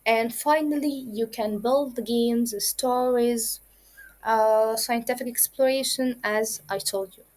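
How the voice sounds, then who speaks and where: A woman teaches children the basics of coding. Her voice sits a little high, and she explains each point step by step and repeats it, with plenty of fillers along the way. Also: steady tone, slow, darkish tone. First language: Arabic. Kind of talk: kids' coding lesson.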